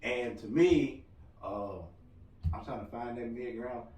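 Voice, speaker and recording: Loud joking man, roomy distant sound. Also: medium pitch, takes it slowly, a little hiss or hum. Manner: loud-voiced, joking and rambling. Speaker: podcast co-host. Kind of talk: casual podcast chat. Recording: roomy room, mic at a distance.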